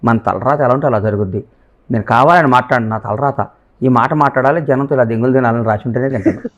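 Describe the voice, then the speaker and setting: A man's voice: medium pitch, racing, lo-fi sound, natural voice. An actor playing a resigned, fatalistic man lamenting his fate in a film clip.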